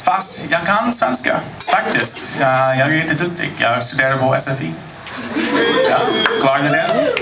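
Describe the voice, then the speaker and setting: An American man in his thirties doing a stand-up set with dry, deadpan timing. His voice sits a bit higher than most men's. The recording is roomy and picked up from a distance, with loud ambient noise behind it. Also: moderate pace. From United States. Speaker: stand-up comedian. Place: comedy club stage. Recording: echoey club recording from far off. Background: audience laughter.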